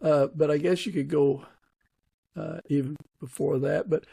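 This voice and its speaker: Male interviewer, slightly high and raspy, casual conversational podcast hosting. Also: steady tone, normal speed, warm tone, no room sound. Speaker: podcast host.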